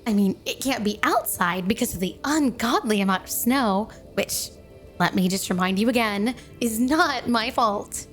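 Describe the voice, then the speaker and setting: Woman acting a high-voiced, clingy snow-woman girlfriend. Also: faint music behind, natural voice. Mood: sweet, eager. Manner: sugary, coy, affectionate, unhurried. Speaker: ASMR roleplay performer. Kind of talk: ASMR romantic roleplay, addressed to the listener.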